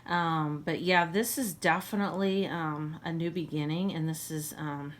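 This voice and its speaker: A woman with a hoarse voice giving a casual tarot reading, halting with many ums and frequent throat clearing. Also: low-pitched, steady tone. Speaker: tarot reader.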